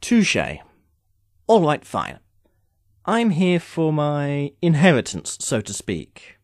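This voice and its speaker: A man's voice: a little high, wildly swooping pitch, slow pace, dry recording, exaggerated voice. A man playing an urbane immortal sorcerer in an audio drama, speaking formally with dry, sardonic impatience. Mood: dry, matter-of-fact. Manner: wry, formal, theatrical phrasing.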